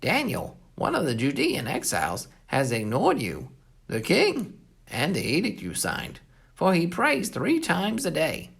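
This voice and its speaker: Scheming, insistent royal official with a gravelly edge acted by a storyteller. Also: mid-range voice, wildly swooping pitch, a little slow, a bit bright, exaggerated voice. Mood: accusing, insistent. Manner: formal, insistent. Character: scheming royal officials, flattering and accusing a king.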